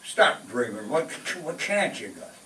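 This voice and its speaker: A man's voice: mid-range voice, sing-song, unhurried, pushed and bright, slight background noise. An actor playing a henpecked, skeptical family man and wisecracking pal in a 1940s-style radio sitcom. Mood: skeptical banter. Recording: echoey room, distant mic, faint music.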